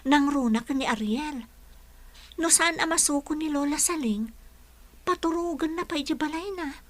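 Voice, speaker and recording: A woman's voice: high-pitched, moderate pace, close to an everyday voice. A woman with an Ilocano accent playing a caring, earnest teacher in a radio drama, speaking conversationally. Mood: earnest concern. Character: caring, earnest female special-education teacher, a loyal colleague.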